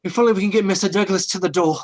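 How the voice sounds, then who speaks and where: A man's voice: high voice, very fast, very noisy, close to an everyday voice. A man playing an urgent, protective rescuer, straining and commanding, in an old time radio horror play.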